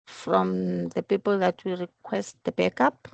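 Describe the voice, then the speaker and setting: Woman, middle pitch, heavy vocal fry, dry close sound, halting step-by-step work explanation. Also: little pitch movement, a little mellow, no room sound, muffled recording. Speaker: employee explaining her accounting work. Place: recorded online work meeting.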